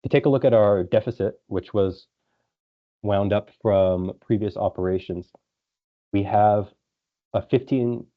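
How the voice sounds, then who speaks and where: A man presenting financial results as a company executive on an earnings call, in a businesslike, explanatory way. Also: low voice. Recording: dull lo-fi conference call audio.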